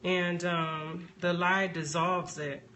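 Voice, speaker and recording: A woman chatting casually on a live stream as she demonstrates a craft, unhurried and thinking aloud as she works. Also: on the low side, level tone. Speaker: soap maker hosting a live stream. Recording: background noise, live phone stream.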